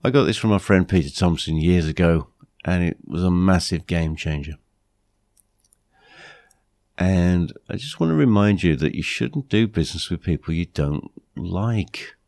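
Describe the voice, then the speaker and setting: Croaky, low-pitched British man. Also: animated, measured pace, darkish tone, no echo, clean studio sound. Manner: blunt, plain-spoken. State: croaky from recent flu. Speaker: podcast host. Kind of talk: podcast monologue, anecdotes and advice.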